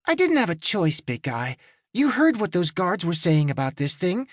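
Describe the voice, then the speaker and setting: A man's voice: sits high, wildly swooping pitch, moderate pace, dry recording, clean studio sound, pitched above the performer's own voice, heavily acted. A man doing a brash young girl spy. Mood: cocky. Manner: brash, teasing. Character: cocky girl spy, almost thirteen.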